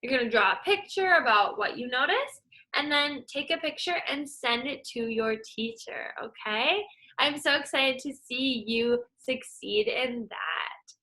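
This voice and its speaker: A young adult woman teacher reads a picture book aloud, then gives young students a task in an encouraging way. Her voice is bright and a little high. She speaks plainly to children. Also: expressive, unhurried, poor audio. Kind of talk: children's read-aloud and lesson.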